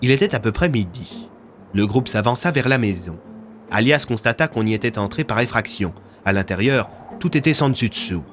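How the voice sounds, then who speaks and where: A man's voice: mid-range voice, lively intonation, fairly quick, a bit bright. A man narrating scripted, dramatic audio fiction as a storyteller, with music underneath.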